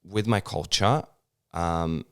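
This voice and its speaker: A man in his thirties, born in Serbia and speaking, talking about his acting work in a relaxed interview, with lots of fillers and restarts. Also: low voice, slow, smooth. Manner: hesitant, many fillers and restarts. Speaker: actor, interview guest. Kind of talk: casual interview conversation.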